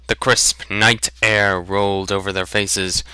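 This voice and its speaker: A man's voice: on the low side, level tone, slow pace, bright, forceful tone, smooth, no room sound. A man giving a scripted dramatic narration of a fantasy story.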